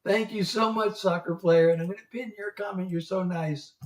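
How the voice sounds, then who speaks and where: A man's voice: sits high, fairly even intonation, moderate pace. A man in his seventies, a singer-songwriter rambling in casual livestream chat and laughing often. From United States. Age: 70s. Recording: roomy, distant mic, guitar music behind.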